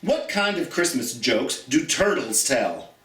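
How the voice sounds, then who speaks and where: A man's voice: medium pitch, sing-song, slow pace, fairly bright tone. An American man hosting a children's storytime, reading and chatting to viewers in a theatrical manner, drawing out key words for emphasis. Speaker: storytime host. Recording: roomy, echoey, distant from the mic.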